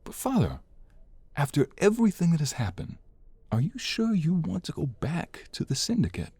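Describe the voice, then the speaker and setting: A man plays a young husband and son in an audio drama, earnest and gentle. His delivery is anxious and hesitant, with a soft-edged, mellow tone recorded close and dry. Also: fairly high pitch, wildly swooping pitch, moderate pace, breathy edge, dry recording, full character voice. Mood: apologetic, worried. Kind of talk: audio roleplay voice acting.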